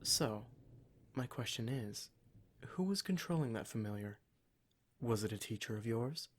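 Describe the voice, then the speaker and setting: Man voicing a smug, measured, menacing demon. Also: mid-range voice, expressive. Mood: calm, smug. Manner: measured, condescending, formal villain speech. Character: smug, menacing male demon soul-collector toying with his captive. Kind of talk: ASMR roleplay monologue addressed to the listener.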